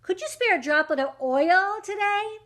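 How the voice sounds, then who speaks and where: A woman's voice: very high voice, expressive, moderate pace, fairly bright tone, voice pushed up. A woman voicing an eager, scatterbrained male cricket in a rhyming storybook, exclaiming politely and getting flustered. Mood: polite pleading.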